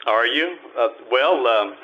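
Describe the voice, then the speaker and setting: A man's voice: mid-range voice, lively intonation, slow, sharp tone. A man acting a wry, world-weary private eye in the blunt, sardonic style of 1950s old-time radio detective drama. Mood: reluctant, dry. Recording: muffled, noisy amateur stage radio recording.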